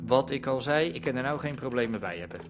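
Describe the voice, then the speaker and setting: A man's voice: medium pitch, animated, fast, music in the background, lightly acted. An actor playing a cagey, scheming underworld man in a radio drama, protesting in colloquial, indignant speech. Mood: scheming, aggrieved. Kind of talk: naturalistic Dutch radio drama dialogue.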